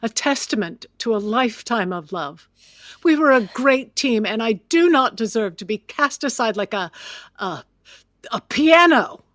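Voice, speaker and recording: An actress playing a proud, aggrieved older widow in an audio drama, speaking in a formal, imperious way. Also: female voice, fairly high pitch, expressive, a little slow, a bit bright, no echo. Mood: wounded pride. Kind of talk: audio drama acting, period dialogue.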